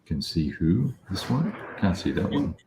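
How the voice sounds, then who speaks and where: A man's voice: low voice, very animated, a little slow, noisy background. A man talking casually as a player working through the rules and moves of a tabletop game.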